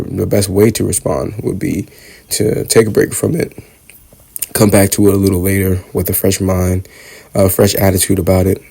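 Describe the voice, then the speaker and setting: A young man in his twenties talking casually to camera, congested from a cold, reflecting on student life. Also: mellow. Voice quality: husky, low gravelly voice. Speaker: vlogger, dental student.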